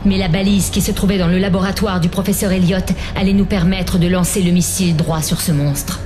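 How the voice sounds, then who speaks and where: An actress playing an earnest, dutiful young cadet in a French-dubbed war game, speaking in a formal manner. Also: female voice, steady tone, a little fast, close to an everyday voice. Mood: composed, narrating. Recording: music and engine rumble behind.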